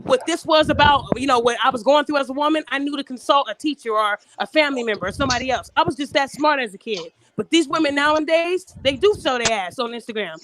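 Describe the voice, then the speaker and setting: A woman's voice: high-pitched, fast, hardly any pauses, a bit bright, no room sound. A woman co-hosting a live podcast, getting over a cold, talking in a blunt, emphatic and profane way. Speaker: podcast co-host. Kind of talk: opinionated live podcast talk.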